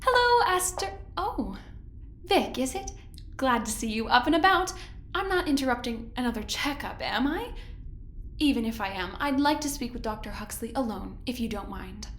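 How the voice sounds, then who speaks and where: High-voiced, condescending woman in charge. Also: sing-song, moderate pace, a bit bright. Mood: mock-cheerful, probing. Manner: patronizing, mock-sweet, controlled. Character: smooth, condescending woman director of a spaceship research project. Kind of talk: audio drama acting. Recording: roomy, echoey sound, music underneath. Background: music.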